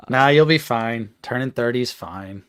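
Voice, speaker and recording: A man's voice: medium pitch, dry recording, clean studio sound. A thirty-year-old man co-hosting a live stream, laughing and teasing in a sarcastic, casual way. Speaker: co-host of a toy collecting live stream.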